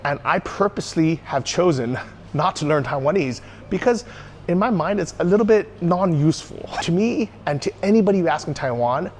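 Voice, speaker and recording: A man's voice: on the high side, expressive, brisk, darkish tone. American guy ranting in a vlog, emphatic, asks his own questions then answers them, faint noise behind. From United States. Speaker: YouTuber. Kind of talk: opinionated solo vlog monologue.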